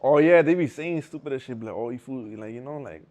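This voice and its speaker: Young man, interview guest, rapid rambling delivery, heavy slang and profanity. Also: mid-range voice, a little mellow. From Southern California. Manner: rambling, profane, street slang, restarts often. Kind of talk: casual storytelling with heavy slang.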